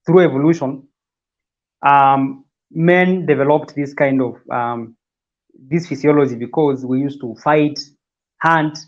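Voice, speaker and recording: A man from Kenya explains training as a livestream guest. His voice sits in an ordinary middle pitch and comes through somewhat dull and lo-fi. He talks casually and often repeats words as he works his way through a point. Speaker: fitness guest.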